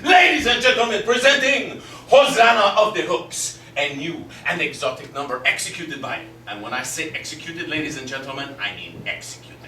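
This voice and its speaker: A man's voice: a little high, lively intonation, normal speed, few pauses, bright, forceful tone. A man playing a crude, mocking boyfriend who needles his partner in a stage drama. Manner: taunting, crude, showy. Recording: echoey room, distant mic, faint noise.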